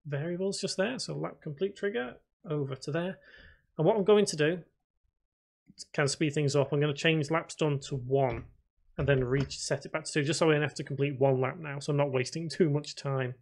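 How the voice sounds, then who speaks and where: A man giving a casual software tutorial, thinking aloud step by step and talking informally to his viewers. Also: a little high, gravelly. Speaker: video tutorial presenter. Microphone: voice-over recorded at a computer.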